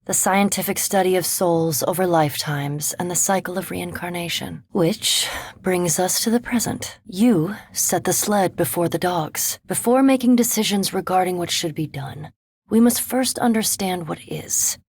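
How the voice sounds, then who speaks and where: A woman voicing a centuries-old vampire scholar in a video game, speaking in an erudite, measured, formal way with composed curiosity and dry superiority. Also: fairly low pitch, a little mellow, husky, dry recording. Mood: scholarly, measured. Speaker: animancy researcher and ship companion in a fantasy video game. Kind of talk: video game character dialogue.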